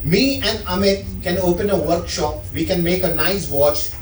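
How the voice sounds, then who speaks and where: Indian man giving a talk, high voice. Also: normal speed, a little hiss or hum. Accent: Indian English. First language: not English. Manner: chatty, asks the crowd questions. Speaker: watch specialist presenter. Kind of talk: live talk to an audience. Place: room at a live event. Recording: echoey room, speaker far from mic.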